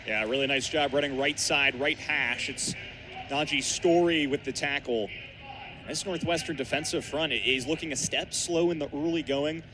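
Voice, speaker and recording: A man's voice: on the high side, moderate pace. A young man with an American accent, giving live color analysis on a football radio broadcast. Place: outdoor college football stadium. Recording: outdoor stadium broadcast, ambient background noise.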